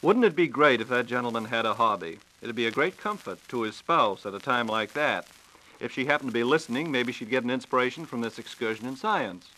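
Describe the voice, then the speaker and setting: A man's voice: medium pitch, expressive, brisk, bright, forceful tone, low-quality recording. An American man hosting a scripted 1940s radio science program with a playful, theatrical announcer delivery. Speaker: radio host interviewing a science reporter. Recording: 1940s radio broadcast recording.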